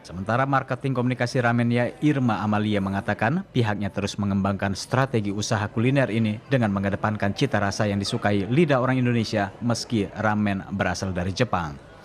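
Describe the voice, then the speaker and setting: A man's voice: on the low side, quick pace. A man reading scripted narration as a news reporter.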